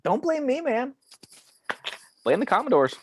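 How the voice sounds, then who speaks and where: A man reading a cocky, animated cynical angel. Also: very high-pitched, unhurried, slight background noise, full character voice. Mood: dismissive. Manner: slangy, opinionated. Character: cynical, cocky male angel of death, argumentative. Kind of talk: table read of a film script.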